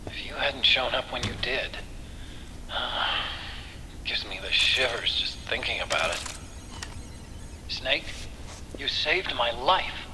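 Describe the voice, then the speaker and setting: Earnest, lively tech-expert sidekick. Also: male voice, medium pitch, slow, pauses often, noisy background. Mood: shaken, grateful. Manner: earnest, expressive, slightly hesitant. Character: earnest, anxious male tech-expert sidekick, caring and hesitant. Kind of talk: dramatic video game cutscene acting.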